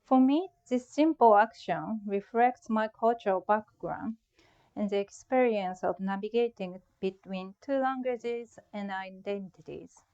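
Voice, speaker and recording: A woman's voice: mid-range voice, a little slow, a little mellow, clean tone, muffled recording. A middle-aged woman from Japan with a Japanese accent, giving a prepared, reflective talk about her own art. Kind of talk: prepared artist talk presentation.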